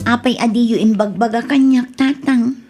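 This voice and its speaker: A woman's voice: a little high, moderate pace, loud music behind the voice, natural voice. A woman acting an earnest, protective adult daughter in an Ilocano-accented radio drama. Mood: indignant. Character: protective, indignant adult daughter fretting over her father.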